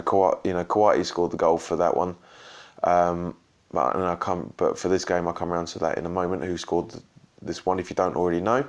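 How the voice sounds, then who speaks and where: Casual fan vlogger, male, very low voice, hoarse from shouting the night before, rambling delivery. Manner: rambling, full of ums and restarts. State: slightly croaky from shouting and drinks. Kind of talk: casual post-match reaction vlog.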